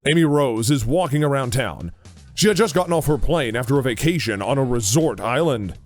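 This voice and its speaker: A man's voice: mid-range voice, animated, fairly quick, faint music behind. A man reading scripted narration for an audio drama.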